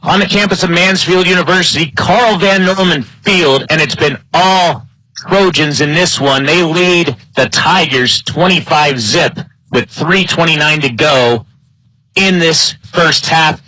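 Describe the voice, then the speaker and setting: A man announcing live football play-by-play in clipped phrases, his excitement building on big plays. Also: fairly high pitch, unhurried, dry recording, poor audio. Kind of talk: live sports play-by-play commentary.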